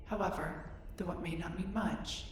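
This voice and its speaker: Audio drama casting: woman as reserved alien scientist, female, curious, formal and measured; very echoey, far from the mic. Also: on the low side, steady tone, natural voice. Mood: formal, apologetic. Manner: formal, measured, precise wording. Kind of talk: scripted roleplay audio drama. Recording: roomy echo, distant, clear background noise.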